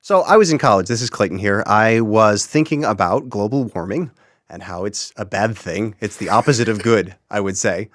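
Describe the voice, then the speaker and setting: A man's voice: on the low side, lively intonation, normal speed, no echo, studio quality. A young man explaining his creative project as an interview guest, conversational and articulate with a wry streak.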